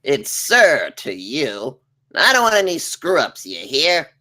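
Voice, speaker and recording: A man's voice: on the high side, huge pitch swings, takes it slowly, sharp tone, full character voice. A man playing a crude, bossy, sycophantic spaceship officer with an abrasive, theatrical manner at a comedy table read. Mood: bossy, menacing. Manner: abrasive, theatrical, switching between barking and fawning.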